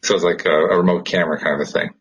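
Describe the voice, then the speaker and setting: Low, husky male podcast host. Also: steady tone, racing, highs cut off. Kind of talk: casual conversational podcast talk.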